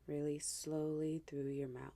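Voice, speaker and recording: Woman, meditation guide, very slow pace, creaky low edge, hushed, gentle, soothing scripture-based narration. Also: level tone. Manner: hushed, gentle, unhurried, with pauses.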